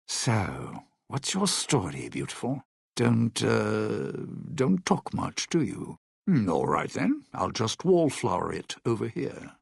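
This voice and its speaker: An actor voicing a suave, bitter, century-old lounge singer in a video game, a sardonic and scheming showman with a raspy voice. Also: fairly low pitch, big pitch swings, slow, no room sound, clean studio sound, exaggerated voice. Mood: probing, casual. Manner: theatrical, sardonic showman talking in stage metaphors.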